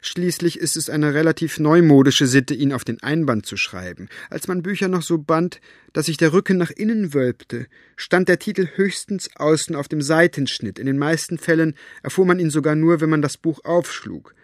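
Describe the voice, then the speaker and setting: A man voicing a gruff, sharp-tongued woman book collector who talks brusquely and mockingly. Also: on the high side, normal speed, dry recording, studio quality, voice pushed up, close to an everyday voice.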